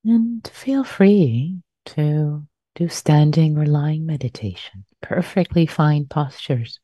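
A woman meditation teacher leading a guided meditation in a soft, breathy voice, gently and unhurriedly, with long pauses, on a dull lo-fi recording. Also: sits low, no echo, clean studio sound.

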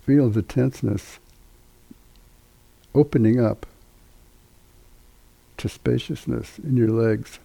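Meditation teacher, older male, very dark mellow tone, husky, halting delivery with long pauses. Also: medium pitch, no echo, poor audio. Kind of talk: guided meditation instruction.

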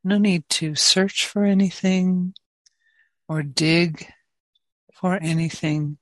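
A woman leads a guided meditation in a slightly low voice. She speaks very slowly and gently, leaving long pauses between phrases. The sound is dry, with hardly any room echo. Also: fairly even intonation. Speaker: meditation teacher.

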